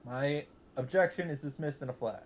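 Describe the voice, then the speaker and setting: A man of middle pitch hosts a let's play of a visual novel, reading its narration aloud at an even pace. He now and then breaks off into blunt, cursing remarks. A faint noise sits under his voice. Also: moderate pace. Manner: reads narration evenly, breaks in with swearing asides.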